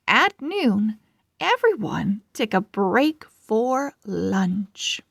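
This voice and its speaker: A woman reading a children's storybook aloud as host, with deliberate, expressive phrasing for young readers. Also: mid-range voice, gravelly, husky, dry recording, clean studio sound. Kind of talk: slow read-along storybook narration.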